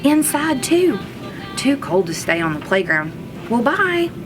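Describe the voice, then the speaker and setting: A woman voicing young schoolchildren from a storybook in a loud, emphatic, childlike way. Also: on the high side, big pitch swings, a little slow, music in the background, pitched above the performer's own voice, low-quality recording. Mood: chilly, chatty. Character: young schoolchildren, boys and girls, loud and outspoken.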